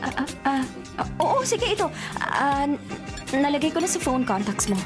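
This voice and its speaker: Bold young woman in love, Filipino accent, teasing, swooping animated pitch, radio romcom, music underneath. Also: moderate pace. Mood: flustered, delighted. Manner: teasing, coquettish, exclaiming. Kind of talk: Filipino radio romantic comedy drama acting. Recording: music bed behind the voice.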